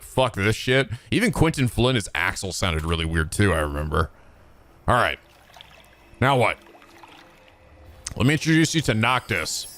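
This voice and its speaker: A man's voice: medium pitch, fairly bright tone, dry recording, studio quality. A man giving casual, profane reaction commentary on a video game, often blurting out interjections.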